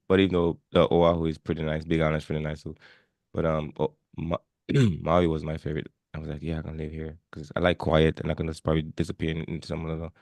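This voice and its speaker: A man hosting a podcast in an informal, animated chat, often repeating and doubling back on his phrases. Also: rumbling low, creaky, no echo. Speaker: podcast host.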